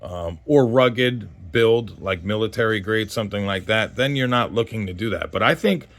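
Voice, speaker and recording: A man's voice: on the low side, normal speed, a bit bright. A man in his forties giving a casual, unscripted gear review as host, in a rambling conversational way that trails off mid-thought. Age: 40s.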